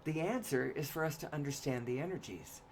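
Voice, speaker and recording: A woman with a hoarse, raspy voice giving a solo spoken monologue, pausing often to cough and clear her throat. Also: low voice, animated, darkish tone, a little hiss or hum.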